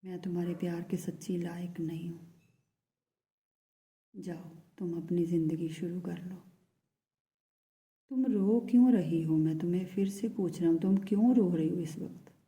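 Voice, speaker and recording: A woman speaking in first person as a lovesick man who pleads tenderly with his beloved, in a soft, hushed voice that often drops to a whisper. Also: on the low side, fairly even intonation, normal speed, natural voice. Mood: tender, pleading. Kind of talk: channelled love message in first person.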